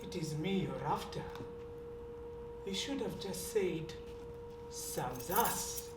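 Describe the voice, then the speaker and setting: An actor playing a dignified older servant on stage, measured and firm, with bitterness underneath. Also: mid-range voice, animated. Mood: bitter. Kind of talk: stage play acting. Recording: roomy stage recording from a distance, faint hiss.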